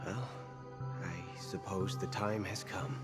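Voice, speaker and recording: A man playing a weary, gentle train navigator in a game, speaking in a measured, halting way. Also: sits low, fairly even intonation. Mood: solemn, tender. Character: weary, gentle older male train navigator bidding farewell. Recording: game audio, long reverb, loud music.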